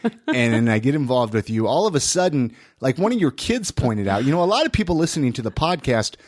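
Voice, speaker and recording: Man, American accent, podcast host, animated intonation, congested head-cold sound, casual, dry close sound. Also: medium pitch, fairly quick, no room sound. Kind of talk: casual podcast chat and sponsor reads.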